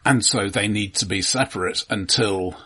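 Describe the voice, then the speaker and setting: Husky low-voiced man discussing film. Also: pushed and bright. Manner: halting, repeats words. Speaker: podcast co-host.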